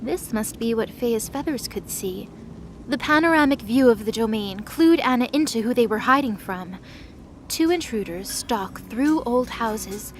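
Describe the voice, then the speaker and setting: A woman narrates a scripted drama. Her voice is on the high side, with a measured storytelling delivery. Music plays clearly behind her.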